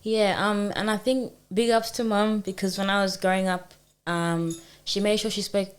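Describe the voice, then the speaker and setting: A woman's voice: medium pitch, steady tone, normal speed. Young woman, chatting casually. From Kenya, moved to Australia young. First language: Swahili. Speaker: music artist guest. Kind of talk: casual chat.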